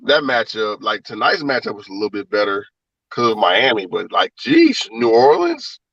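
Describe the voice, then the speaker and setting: A man's voice: medium pitch, sing-song, moderate pace, gravelly, a bit bright, husky, no room sound. Laid-back man on a distant dull line. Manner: laid-back, swears casually. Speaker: guest. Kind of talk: casual sports chat. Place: outdoors in a driveway.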